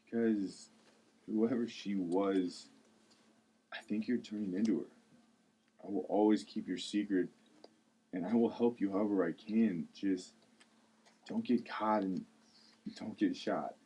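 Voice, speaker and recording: A man playing a very deep, husky confidant. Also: a little slow, long pauses, warm tone. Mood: protective. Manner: confiding, conversational storytelling. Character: earnest, protective man confiding a childhood story. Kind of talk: script table read acting.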